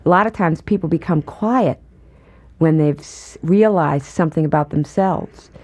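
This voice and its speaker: A woman guest in an interview, talking thoughtfully and in a measured way about her work. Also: deep voice, a little mellow. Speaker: guest, performer. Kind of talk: conversational interview.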